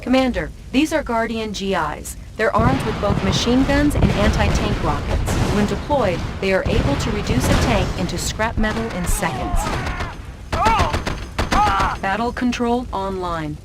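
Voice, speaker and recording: An actress playing a composed military intelligence officer who gives crisp, earnest mission briefings in a video game. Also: female voice, mid-range voice, lively intonation, measured pace, loud music behind the voice, natural voice. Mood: focused.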